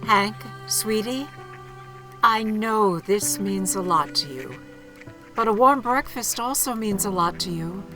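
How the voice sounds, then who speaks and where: A woman's voice: mid-range voice, animated, takes it slowly, over music. A woman playing a doting older wife in an audio drama, affectionate and sweetly insistent. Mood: fond, coaxing. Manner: affectionate, coaxing.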